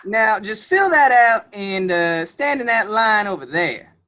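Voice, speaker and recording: A woman's voice: mid-range voice, big pitch swings, a little slow, a bit bright, a little hiss or hum. A woman playing an unbending, officious clerk in a comedic radio play, clipped and matter-of-fact. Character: unbending, officious bureaucratic clerk, deadpan and obstructive.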